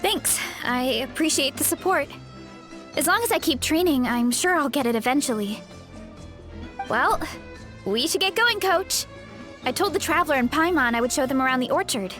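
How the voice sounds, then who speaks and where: A bubbly, modest young orchard girl from a video game. The voice is high and sweet with animated, swinging intonation, over soft background music. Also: moderate pace. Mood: grateful. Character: Varesa, young female orchard girl and fighter, sweet, modest, self-doubting. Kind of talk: video game character acting.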